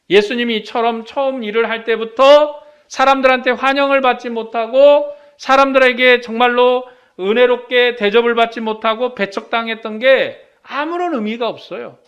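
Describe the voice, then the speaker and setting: A man's voice: extremely high pitch, a bit bright, smooth. A man preaching a sermon, emphatic and declamatory, posing rhetorical questions and answering them, with phrases that swell and then fall away. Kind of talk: sermon to a congregation.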